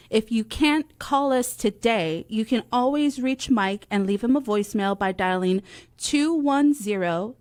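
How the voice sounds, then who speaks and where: A woman's voice: a little high, no room sound. A woman co-hosting a live call-in radio show, announcing to the listeners. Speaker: radio co-host.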